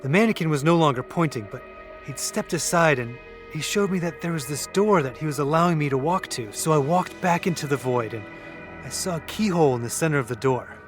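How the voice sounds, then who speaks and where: A young man acting in an audio drama as a shaken young man who tells of things earnestly, with halting pauses. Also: a little high, lively intonation, soft background music, natural voice. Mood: awed, haunted. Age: young adult. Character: shaken young man recounting an eerie vision.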